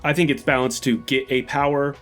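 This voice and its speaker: Tabletop player, male, medium pitch, casual conversational delivery, backed by music. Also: flat delivery, brisk, fairly bright tone. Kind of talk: casual game-table talk. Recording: music under the voice. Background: background music.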